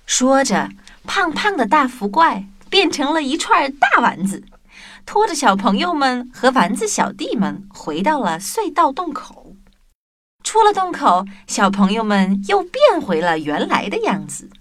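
Female children's narrator. High, very lively delivery over a music bed. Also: unhurried. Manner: expressive, addressing young children. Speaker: storyteller and show presenter. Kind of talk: animated scripted children's story narration.

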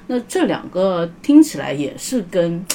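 A woman's voice: on the low side, sing-song, a little slow, a crowd in the background. A woman explaining legal procedure as a guest in a casual podcast discussion, carefully qualifying what she says and readily agreeing with others. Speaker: guest legal commentator, lawyer.

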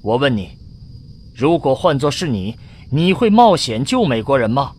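A man's voice: mid-range voice, sing-song, normal speed, a little mellow. A man playing a resolute, commanding middle-aged spaceship captain in a science fiction radio drama. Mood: firm, resolved. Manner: firm, commanding. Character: resolute, self-sacrificing male spaceship captain around forty.

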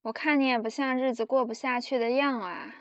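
A woman's voice: sits high, steady tone, a little fast, a bit bright, no room sound, dull audio. A woman playing a chatty manual labourer friend, breezy and folksy, in an amateur radio drama. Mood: breezy, sympathetic.

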